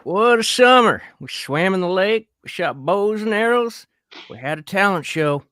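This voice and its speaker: A man voicing an earnest, corny male camp director making a drawn-out speech in a cartoonish voice, in a live script read. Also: high voice, lively intonation, fairly bright tone, clean tone. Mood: cheery, nostalgic.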